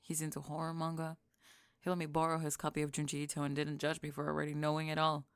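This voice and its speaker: A woman playing a sardonic, unbothered teenage goth girl in a scripted ASMR roleplay, with a deadpan, dryly sarcastic delivery. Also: sits low, fast talker, smooth, dry recording, studio quality. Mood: defensive, sincere.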